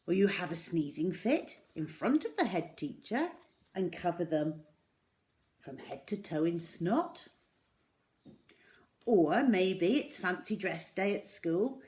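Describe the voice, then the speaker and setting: A woman reading a children's story aloud in an expressive, dramatic way, with deliberate pauses. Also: mid-range voice.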